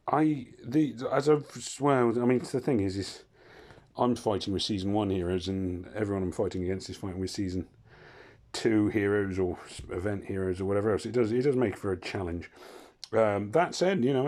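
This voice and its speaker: Hoarse man rambling over gameplay. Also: lowish voice, warm tone. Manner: rambling, self-interrupting, wry asides. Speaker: gaming channel host. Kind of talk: casual gameplay commentary.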